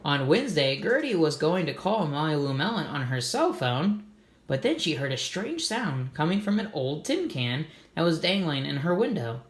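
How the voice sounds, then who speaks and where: A man's voice: fairly high pitch, big pitch swings, normal speed, reverberant. A man reading a children's storybook aloud, adding simple, encouraging comments for young listeners. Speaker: read-aloud host for children.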